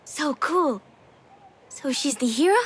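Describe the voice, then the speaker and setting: A woman voicing a young daughter in a dramatic video game, curious, gentle and consoling, speaking with earnest support. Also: high voice, expressive, slow. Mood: curious, wide-eyed.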